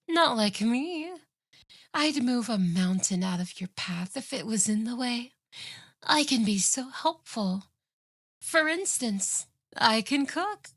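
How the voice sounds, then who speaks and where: A woman's voice: on the high side, dry recording, studio quality, heavily acted. A woman playing a possessive, obsessive fox-spirit yandere in a scripted ASMR roleplay, with a sugary, boastful, taunting manner.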